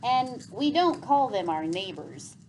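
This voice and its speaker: A woman's voice: mid-range voice, very animated, slow pace, darkish tone, slight background noise, poor audio. A woman voicing a plucky, joking boy in a children's storybook, casual and upbeat.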